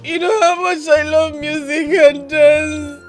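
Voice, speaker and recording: A woman's voice: very high voice, measured pace, fairly bright tone. An actress with a Nigerian accent playing a remorseful young mother who pleads and wails in a drama. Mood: tearful pleading. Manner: wailing, pleading. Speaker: runaway wife. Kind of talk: film drama acting.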